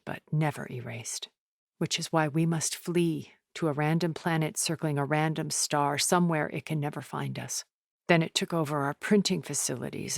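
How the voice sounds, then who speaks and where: A cultured, centuries-old female game villain with a low, gravelly voice. She speaks with polished, deliberate intensity, calculating yet full of regret. The sound is dry, with no room around it. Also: no room sound. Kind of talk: dramatic video game voice acting.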